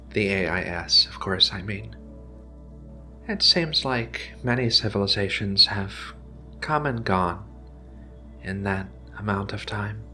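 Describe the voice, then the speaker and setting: Male ASMR roleplayer, gentle alien researcher: slow, soothing, reassuring delivery with music underneath. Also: lowish voice. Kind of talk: soft-spoken ASMR roleplay.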